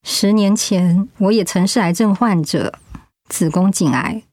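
Actress as an earnest woman, medium pitch. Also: measured pace, a little mellow, no room sound, natural voice. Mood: advising, reflective. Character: steady older friend, wife who survived cancer. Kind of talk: audio drama acting.

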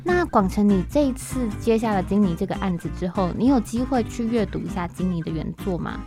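A woman's voice: medium pitch, expressive, a little fast. A woman with a Taiwanese Mandarin accent hosting a conversational podcast interview. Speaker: podcast host. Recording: music playing behind the voice. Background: background music.

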